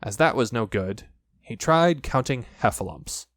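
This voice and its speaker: Expressive male storybook narrator, lively pitch. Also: a little slow, no room sound. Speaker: audiobook narrator. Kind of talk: scripted children's story narration.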